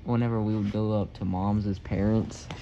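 Young woman w really deep voice, goofy casual chat, bit of room noise on phone mic. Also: fairly even intonation, normal speed, warm tone, highs cut off. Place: living room at home. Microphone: handheld phone camera.

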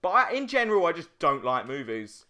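Lively, bright young man joking over gameplay. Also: a little high, a little fast. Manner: joking, sweary banter. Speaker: let's play co-host. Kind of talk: casual gaming commentary, banter.

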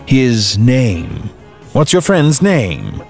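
Stern guy in charge, slow talking, cold and probing, faint music under. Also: mid-range voice, sing-song, darkish tone, full character voice. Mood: coldly probing. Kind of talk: audio drama acting.

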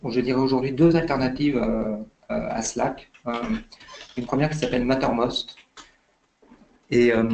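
Guy with a gravelly voice explaining stuff on a webinar, lots of umms and restarts. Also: medium pitch, a little mellow, a little hiss or hum, rough recording. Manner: hesitant, many fillers and restarts. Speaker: invited expert speaker.

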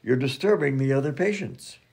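A man's voice: mid-range voice, lively intonation, a little fast, gravelly, darkish tone, breathy edge. A man playing a pompous, courtly former US president, formal and easily huffy, in an old-time radio comedy sketch. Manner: formal, self-important, polite but huffy.

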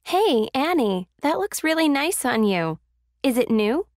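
A friendly, complimentary woman makes scripted small talk. Her voice is high and very lively in melody, recorded dry. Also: unhurried, dry recording. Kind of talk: scripted English listening-exercise dialogue.

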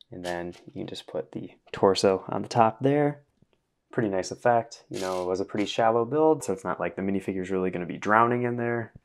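Male reviewer, low gravelly tone, easygoing chatty delivery. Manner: relaxed, conversational, frequent "you know". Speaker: toy review vlogger. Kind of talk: casual product review.

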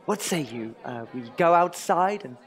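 A man playing an anxious, exasperated Tudor court lyricist in a comic audio drama, with theatrical, drawn-out emphasis. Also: on the high side, expressive, some chatter behind, lightly acted. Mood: urging.

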